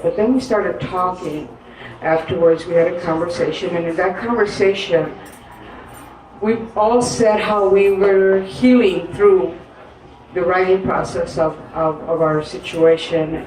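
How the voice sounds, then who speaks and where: A woman poet speaking on stage in an earnest, halting way, with many pauses and fillers. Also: mid-range voice, darkish tone, slight background noise. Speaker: poet and guest. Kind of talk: personal storytelling in a live interview. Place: live stage event in an echoey room. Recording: echoey room from a distance.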